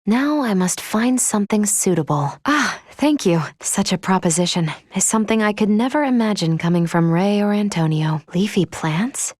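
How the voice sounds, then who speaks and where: A woman's voice: medium pitch, lively intonation, a little mellow, no echo, clean studio sound, lightly acted. Woman acting a polite, precise female android. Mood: earnest, thoughtful. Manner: formal, precise, measured phrasing. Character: polite, earnest female android crew member. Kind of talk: video game voice acting.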